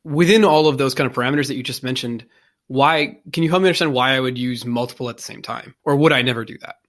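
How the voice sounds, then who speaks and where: A man's voice: mid-range voice, fast, fairly bright tone. A man hosting a casual interview, asking curious questions and thinking them through aloud. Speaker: interview host.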